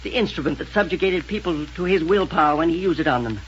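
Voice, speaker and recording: A man's voice: fairly high pitch, expressive, fast, a bit bright. A man playing an earnest, authoritative scientist doctor in a 1930s radio space adventure. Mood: urgent. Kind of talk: 1930s radio serial acting. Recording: dull noisy 1930s radio recording.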